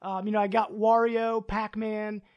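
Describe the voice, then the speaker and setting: A man's voice: high voice, little pitch movement, unhurried, muffled recording. An American man chatting casually as a podcast host, upbeat and agreeable. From United States. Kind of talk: casual chat.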